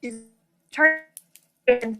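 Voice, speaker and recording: A woman's voice: on the high side, very slow. A woman giving sardonic opinions in a casual conversation, often paraphrasing others with mocking mimicry. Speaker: guest co-discussant. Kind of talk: casual opinionated chat about a TV series.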